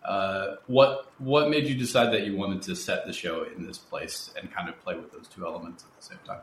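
A man's voice: low-pitched, moderate pace. A man hosting an interview, asking conversational questions in thoughtful, slightly hesitant phrasing. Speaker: podcast interviewer and host. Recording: roomy echo, clear background noise.

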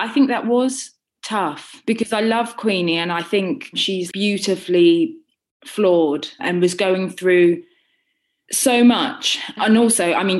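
Chatty woman with a lowish voice. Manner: chatty, laughs often, trails off and restarts. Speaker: audiobook narrator answering questions. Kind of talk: casual Q&A chat.

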